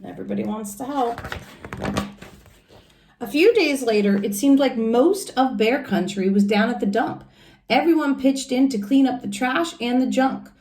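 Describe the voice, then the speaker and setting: A woman's voice: fairly high pitch, animated, moderate pace, darkish tone, echoey room. A woman reading a children's storybook aloud like a teacher, adding simple explanations and questions for young listeners.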